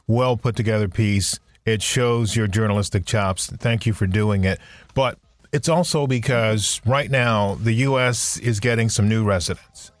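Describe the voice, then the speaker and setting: A man hosting a radio talk show on race, introducing his guest in a measured, formal way. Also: on the low side, no echo. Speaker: podcast host.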